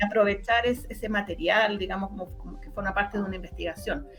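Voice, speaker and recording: A middle-aged woman with a Chilean accent talks in an informal interview. She explains things in a halting way, circling back and repeating phrases while she looks for the right word. Her pitch sits in an average range, and music can be heard with her voice. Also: a bit bright. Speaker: interviewee, researcher and former radio journalist. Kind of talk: informal interview, recounting research.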